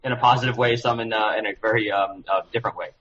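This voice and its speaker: Male phone guest, quick but halting, muffled remote line. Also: on the low side, fairly even intonation, a bit bright, slight background noise. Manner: hesitant, halting. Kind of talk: interview answers.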